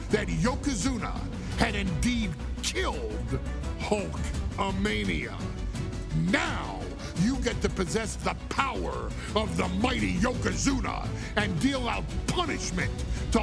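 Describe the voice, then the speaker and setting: A man's voice: sits high, gravelly. A man delivering dramatic, theatrical scripted narration that hypes a showcase. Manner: theatrical, hyping.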